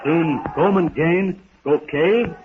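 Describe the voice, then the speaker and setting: A wild, powerful ape man from an old radio adventure serial. He speaks in blunt, halting fragments of broken English, commanding and terse. The recording is thin and tinny, with faint noise. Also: fairly high pitch. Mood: urgent, commanding. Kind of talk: 1930s radio serial acting.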